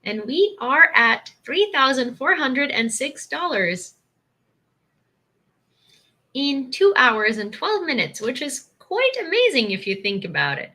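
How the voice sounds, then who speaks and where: A woman's voice: high-pitched, sing-song, takes it slowly. A young woman from Ukraine, Ukrainian native, with an American accent, chatting casually on a livestream and laughing often. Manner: chatty, laughs often, thanks viewers warmly. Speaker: livestream host.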